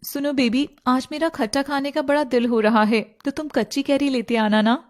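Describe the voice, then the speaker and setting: A woman's voice: high-pitched, steady tone, normal speed, lightly acted. A woman voicing a clingy young city woman who coaxes and pleads in a story. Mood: sweetly coaxing. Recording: music playing behind the voice.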